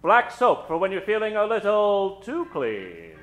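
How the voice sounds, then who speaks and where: A man hosting and narrating an old-time radio spoof on stage, with a theatrical, mock-ominous delivery full of dramatic pauses. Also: high-pitched, huge pitch swings. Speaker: host and narrator of a radio show. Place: theater stage before an audience. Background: live keyboard music.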